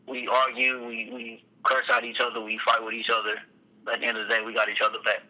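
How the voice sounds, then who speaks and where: A man, a rapper, talking about his music in a casual phone interview, laid-back and halting, often repeating words. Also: mid-range voice, monotone, pushed and bright. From Texas, USA. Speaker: rapper guest. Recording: tinny phone line.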